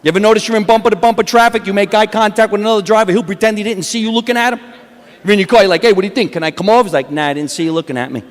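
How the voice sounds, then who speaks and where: A stand-up comedian with a New Jersey accent, delivering a deadpan wisecracking set onstage. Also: male voice, sits high, very fast. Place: comedy awards stage. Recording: long hall reverb from a stage.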